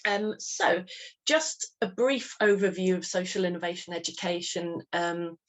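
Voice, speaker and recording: A woman's voice: fairly low pitch, a little slow, poor audio. A woman from England, hosting an online meeting in a chatty, friendly way, laughing often. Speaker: webinar host. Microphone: video call.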